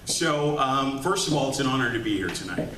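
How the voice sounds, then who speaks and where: A man, an executive coach, giving a lively motivational workshop talk to an audience, often tossing "right?" back at the room. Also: medium pitch, brisk, far from the mic. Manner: upbeat, calls to the audience with "right?". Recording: echoey room recorded from afar with faint hiss.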